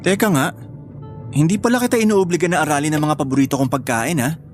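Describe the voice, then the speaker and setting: An actor plays a doting young man in love in a Filipino radio drama. He is teasing and utterly smitten with his girlfriend. Soft music sits faintly behind him. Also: on the high side, fast, natural voice. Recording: faint music under dialogue.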